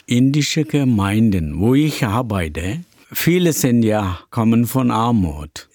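A middle-aged Indian pastor whose first language is Tamil answers interview questions in a halting way, pausing often with many hesitations and simple grammar. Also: male voice, mid-range voice, darkish tone, dry recording, studio quality. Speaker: pastor and church planter.

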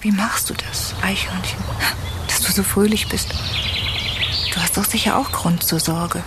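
Woman playing a sad, hushed lonely woman. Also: mid-range voice, fairly even intonation, measured pace, lightly acted. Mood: sad, wistful. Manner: soft, hushed, half-whispered.

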